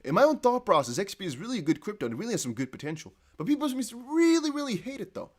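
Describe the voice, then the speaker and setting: A man's voice: high-pitched, big pitch swings, racing. A young man whose first language is Dutch, rambling casually on a livestream and reading viewer comments aloud. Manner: rambling, self-correcting, chatty. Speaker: crypto livestream host.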